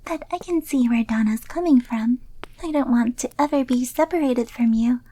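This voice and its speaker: A woman playing a clingy, devoted young yandere maid in an ASMR roleplay, speaking softly and often slipping into a pleading whisper. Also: fairly high pitch, normal speed, natural voice. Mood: adoring, pleading. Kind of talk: breathy ASMR roleplay acting.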